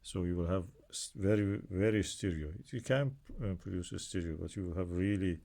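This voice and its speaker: A man's voice: low-pitched, moderate pace, dark tone. A man casually demonstrating a product in an unscripted way, sometimes trailing off mid-thought. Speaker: product reviewer.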